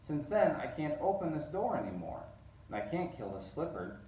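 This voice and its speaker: A man's voice: a little high, very animated, normal speed, warm tone, slight background noise, pitched above the performer's own voice. A man voicing a friendly but fretful doorman in a rhyming children's storybook. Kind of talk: children's storybook read-aloud. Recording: roomy, distant, dull sound.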